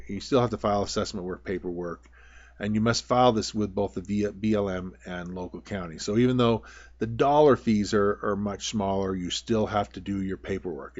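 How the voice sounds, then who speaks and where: A man's voice: lowish voice, a little mellow, muffled recording. An American man giving an informal, plainspoken instructional talk over slides, explaining things with some hesitant phrasing. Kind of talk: informal slide-show lecture.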